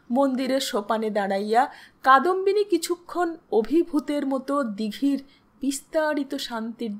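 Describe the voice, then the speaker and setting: A woman reading a literary story as a storyteller, in a measured, expressive and formal manner. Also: high voice. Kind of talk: dramatic literary story narration.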